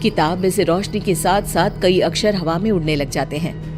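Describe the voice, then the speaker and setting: A woman's voice: lowish voice, moderate pace. A woman narrator reading a scripted story. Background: music.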